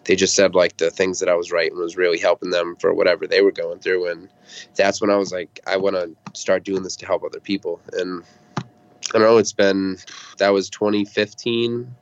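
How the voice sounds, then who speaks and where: A man's voice: deep voice, little pitch movement, fairly quick. A man in his twenties from Massachusetts, a rapper telling his story candidly and casually as an interview guest, on slightly dull audio. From Massachusetts, United States.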